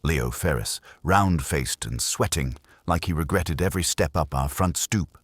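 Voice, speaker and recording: A man's voice: extremely low pitch, a little slow, husky, no echo. A man narrating a detective story in the first person, wry and dry-witted in a hard-boiled style.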